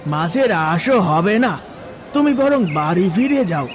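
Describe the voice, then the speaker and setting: High-pitched, blunt village fishmonger. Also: male voice, big pitch swings, slow, heavily acted. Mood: dismissive. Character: blunt but soft-hearted village fish seller. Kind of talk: animated fairy tale acting.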